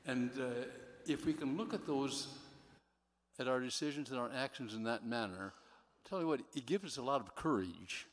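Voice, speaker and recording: A man's voice: medium pitch, moderate pace. A man giving an earnest, somewhat rambling personal testimony from the audience at a religious gathering. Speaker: audience member. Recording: reverberant hall.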